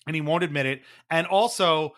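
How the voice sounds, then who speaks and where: A man's voice: a little high, a little fast, fairly bright tone. A man co-hosting a sports podcast, giving opinionated commentary in an emphatic, wryly exasperated way. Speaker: podcast co-host.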